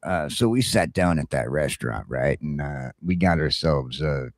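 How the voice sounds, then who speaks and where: A man's voice: extremely low pitch, expressive, moderate pace, no room sound, clean studio sound. A middle-aged American man hosting a casual, comedic morning chat show, telling rambling stories with crude humor. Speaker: talk show host.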